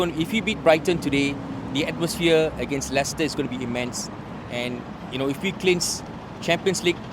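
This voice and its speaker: A man from Singapore talks football with animated confidence and frequent fillers. His voice is mid-pitched. Traffic noise is clearly heard behind him on the street. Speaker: fan club member.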